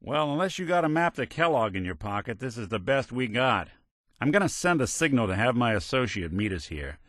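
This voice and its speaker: An American actor playing a world-weary, gruff but decent synthetic private detective in a noir game, with a dry, sardonic manner. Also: male voice, mid-range voice, sing-song, a little fast, fairly bright tone, no room sound. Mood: businesslike, steady. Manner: dry, sardonic, old-time gumshoe slang. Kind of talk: noir hard-boiled detective game dialogue.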